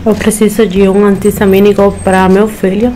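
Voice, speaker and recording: A woman's voice: mid-range voice, little pitch movement, moderate pace, warm tone, faint music behind, poor audio. A young Cuban woman vlogging casually to a phone camera, speaking careful learner's Portuguese with a Spanish accent. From Cuba. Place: small room at home, indoors.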